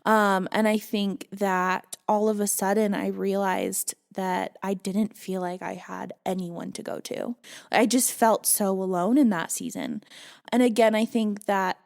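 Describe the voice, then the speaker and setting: A woman guest on a podcast, telling her life story candidly in an earnest, reflective way with soft laughs. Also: mid-range voice, fairly even intonation, measured pace, vocal fry. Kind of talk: candid personal storytelling in conversation.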